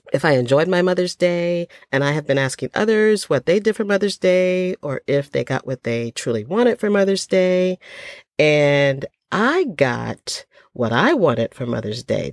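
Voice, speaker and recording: An older woman with a low, slightly stuffy voice chats casually to her listeners. She talks in a folksy, rambling way with lots of ums. The sound is dry and close. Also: very animated, measured pace, no room sound. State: stuffy from a cold. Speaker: podcast host. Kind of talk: casual solo podcast chat.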